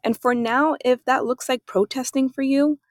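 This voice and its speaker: A woman from Germany hosting her own podcast, talking through her thoughts in a calm, reflective solo monologue. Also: high voice, normal speed, dry recording, clean studio sound. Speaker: podcast host.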